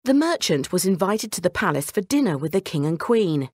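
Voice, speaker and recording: Woman narrator for children's bedtime stories: expressive, animated pitch, dry sound. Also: brisk, husky, no room sound. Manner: expressive storytelling. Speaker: storyteller.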